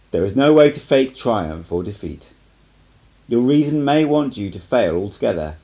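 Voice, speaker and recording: A man voicing a stern, cryptic old sorcerer teacher in an audiobook, lecturing in a measured, emphatic way. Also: low voice, mellow, rough recording. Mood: firm, ominous. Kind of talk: amateur audiobook character reading.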